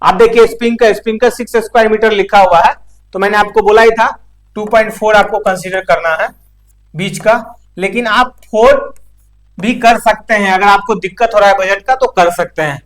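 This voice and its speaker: A man's voice: high-pitched, a little fast, a bit bright, low-quality recording. Man teaching. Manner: explanatory, with frequent check-in tags. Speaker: instructor. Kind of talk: informal practical technical lecture.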